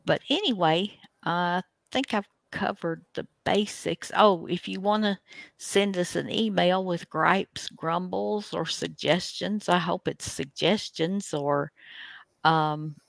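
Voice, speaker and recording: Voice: medium pitch, slow, gravelly, a bit bright, dry recording. A radio host casually introducing a show in a folksy, hesitant, rambling way.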